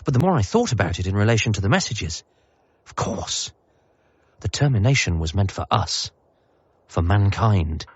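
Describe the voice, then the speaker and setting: Eager grown-up son, muffled sound. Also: male voice, fairly low pitch, very animated, normal speed, long pauses, slightly rough, no echo. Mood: reflective, wondering. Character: grieving, eager grown-up son, excitable and apologetic. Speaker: actor narrating radio comedy drama. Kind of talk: first-person dramatic narration and dialogue. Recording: slightly muffled, faint music behind.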